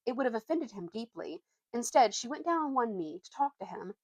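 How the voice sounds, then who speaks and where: A woman's voice: a little high, expressive, a little fast, no echo, poor audio. A young woman with an informal, youthful way of talking, reading a storybook aloud and chatting as a vlogger. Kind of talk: storybook narration and casual chat.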